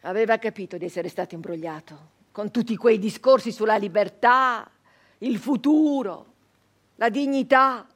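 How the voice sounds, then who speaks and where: High-voiced, bitter woman brigand. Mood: bitter, grave. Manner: dramatic, deliberate, with long pauses and swings from hushed to loud. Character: embittered, defiant woman brigand of nineteenth-century southern Italy. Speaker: actress in a dramatic monologue. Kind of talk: theatrical radio monologue, first-person storytelling.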